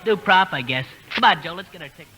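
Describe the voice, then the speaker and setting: A man's voice: high voice, lively intonation, fast, bright, forceful tone, slightly rough, exaggerated voice. An actor playing a slangy, exclamatory young male college student in an old radio comedy. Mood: brash, puzzled. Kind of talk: 1940s radio comedy acting. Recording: noisy old radio broadcast recording.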